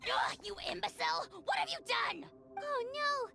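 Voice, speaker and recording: A woman's voice: extremely high pitch, lively intonation, moderate pace, creaky, over music, exaggerated voice. A woman voicing a tiny, imperious game creature with a haughty, scolding, exclamatory delivery. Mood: exasperated scolding. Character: tiny shrill bossy imperious creature companion. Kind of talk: video game voice acting.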